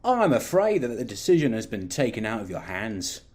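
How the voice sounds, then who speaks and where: A sinister alien diplomat, acted by a man for a sci-fi audio drama. His voice is husky and very animated in pitch. He stays courteous and condescending, dressing each threat as kindly concern. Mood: polite, sinister. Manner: courteous, measured, veiled threats. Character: polite, smugly menacing male alien diplomat, condescending.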